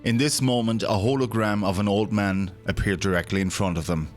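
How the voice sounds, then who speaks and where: Male narrator, fairly low register, dramatic scripted storytelling for audio drama, faint music bed. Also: fairly even intonation, brisk. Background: background music.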